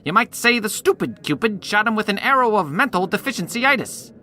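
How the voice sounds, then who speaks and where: An actor playing a flippant, callous state warden, glib and slangy, in a comedic radio play. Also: male voice, high voice, animated, fairly quick, pushed and bright, slight background noise, full character voice. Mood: glib, mocking. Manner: glib, slangy, mangles big words.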